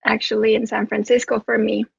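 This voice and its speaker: A woman's voice: fairly high pitch, level tone, brisk, gravelly, husky, no room sound, highs cut off. A woman, a therapist talking as a guest in an interview, rambling with many restarts. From Romania. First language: Romanian. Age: 30s. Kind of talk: conversational interview.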